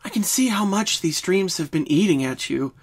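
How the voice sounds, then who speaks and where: Caring, bookish young female cartoon unicorn acted by a storyteller, higher register, earnest and reassuring. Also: animated, moderate pace, husky.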